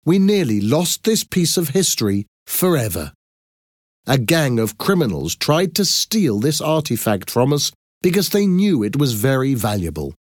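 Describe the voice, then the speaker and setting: A man performs a pleased, proud museum archaeologist from a storybook. He presents formally with emphatic, melodic phrasing in a raised pitch, and the sound is dry. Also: very animated, moderate pace, no room sound.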